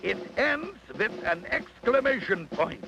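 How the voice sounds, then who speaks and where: An eccentric cartoon mad scientist with a very high, swooping voice. He lectures grandly and slowly, savoring his discoveries with theatrical excitement. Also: gravelly, dull audio, full character voice. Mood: grand lecturing. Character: excitable eccentric mad scientist professor inventing word rules.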